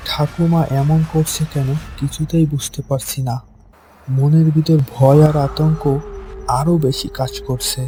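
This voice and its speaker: A man's voice: a little high, level tone, measured pace, mellow, soft background music, lightly acted. A man voicing an anxious, bewildered young grandson who narrates and acts in a horror cartoon with dramatic, hesitant delivery. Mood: anxious narration. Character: frightened, bewildered young grandson telling his ghost story. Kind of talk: first-person horror cartoon narration and dialogue.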